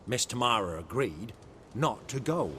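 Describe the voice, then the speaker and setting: Fearful peasant with swooping pitch. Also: male voice, big pitch swings, fairly bright tone, slight background noise. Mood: haunted, tense. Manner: deferential, rustic uneducated dialect, halting when nervous. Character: poor, fearful, humble peasant man recounting dread events. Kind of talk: video game character acting.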